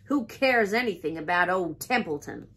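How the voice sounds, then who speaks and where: A woman's voice: lowish voice, lively intonation, moderate pace, a bit bright, husky, voice pushed down, full character voice. A woman voicing a grumpy, lazy, put-upon male rat in a children's storybook, mocking and sarcastic as he grumbles. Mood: self-pitying sarcasm.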